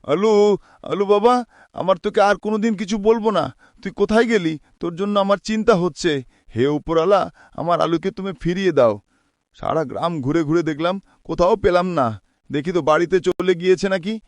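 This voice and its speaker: A short-tempered woodcutter father , voiced by a man, scolds and frets in a fairly high voice. The recording is dry, with no room sound. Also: fairly quick, no echo, close to an everyday voice. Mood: desperate. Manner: scolding, later desperate calling. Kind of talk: cartoon voice acting.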